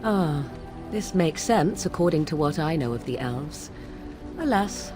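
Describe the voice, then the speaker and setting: A woman's voice: low-pitched, expressive, a little slow, over music. An actress playing a haughty, sardonic young witch of the wilds in a fantasy game, with arch, archaic, formal diction. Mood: aloof, explaining. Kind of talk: fantasy video game dialogue acting.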